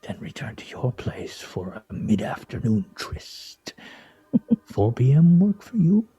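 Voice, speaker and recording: A man's voice: mid-range voice, big pitch swings, takes it slowly, warm tone, slightly rough, no echo, whispered, exaggerated voice. A man playing a wry, theatrical husband in a comic radio play, speaking in a pompous, mock-formal way. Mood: hushed, conspiratorial. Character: wry, theatrical, teasing husband with grandiose fantasies. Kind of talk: stage radio play comedy acting.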